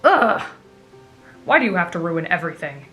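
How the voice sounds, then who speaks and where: A woman's voice: fairly low pitch, sing-song, normal speed, a bit bright, soft background music, pitched below the performer's own voice. A woman voicing an anxious, scheming teenage boy with a petulant, exasperated manner, in an anime fan roleplay.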